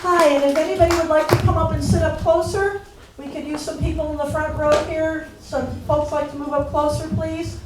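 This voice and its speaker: A woman's voice: high voice, level tone, fairly quick. An American woman from Illinois hosting a live event, welcoming and introducing the show to an audience. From Illinois, United States. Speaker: host. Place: echoey event hall with seated audience. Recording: echoey hall, distant mic, faint noise.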